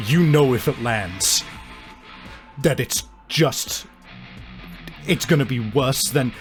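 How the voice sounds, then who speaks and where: A man improvising narration as game master of a tabletop horror game, halting and deliberate as he searches for words. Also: medium pitch, animated, soft background music.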